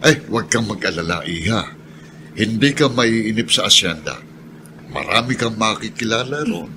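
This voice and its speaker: Actor as kindly, low-voiced older farmhand. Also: male voice, expressive, moderate pace, close to an everyday voice. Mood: friendly. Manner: genial, avuncular. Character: kindly, folksy older male plantation worker. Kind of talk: Filipino radio drama acting. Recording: clear background noise.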